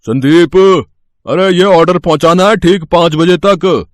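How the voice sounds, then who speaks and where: A man acts out a brisk bakery boss from a moral story. His voice sits fairly high and is recorded very dry. He talks freely, checking with little tag questions as he gives instructions. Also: dry recording. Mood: businesslike. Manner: talkative, adds tag questions. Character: brisk, chatty bakery owner, male boss. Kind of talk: dramatised Hindi moral story acting.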